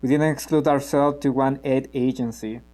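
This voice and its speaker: A man's middle-pitched voice. An actor plays a cynical member of an armed movement in a radio play, natural in delivery, measured and careful with what he gives away. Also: fairly even intonation, mellow, lightly acted. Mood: guarded, wry. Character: guarded, cynical male member of an armed revolutionary group.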